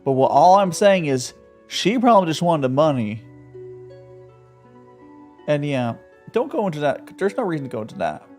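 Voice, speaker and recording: Joking streamer rambling over gameplay, mid pitch, bouncy delivery. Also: big pitch swings, normal speed, pauses often, darkish tone. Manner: joking, playful, rambling asides. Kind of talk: live gameplay commentary. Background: faint game music.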